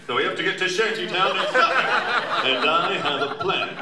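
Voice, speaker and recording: A man's voice: sits high, a little fast, natural voice. A man playing a cheery, cocksure amateur sleuth with corny old-time slang in a live comic radio play. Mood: confident. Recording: echoey convention hall, distant mic, audience noise.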